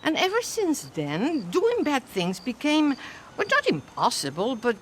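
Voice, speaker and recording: Gentle, lively-voiced philosophical nun. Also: female voice, high voice, a little slow, a little hiss or hum, exaggerated voice. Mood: earnest, consoling. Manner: gentle, reflective, good-humoured. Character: kindly, philosophical older nun, gently amused. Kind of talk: video game cutscene acting.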